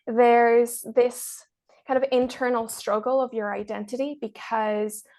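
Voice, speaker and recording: Woman guesting on a podcast, highish voice w lots of vocal fry, chatting casually. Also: little pitch movement, a little slow, dark tone. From Ireland, born in Mexico. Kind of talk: casual interview chat.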